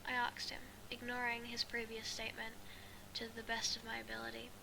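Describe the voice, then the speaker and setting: A woman reading a first-person story aloud as an amateur, in a halting way with stumbles and repeated phrases. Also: on the high side, completely flat delivery, gravelly, noisy background. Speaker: amateur fan fiction reader.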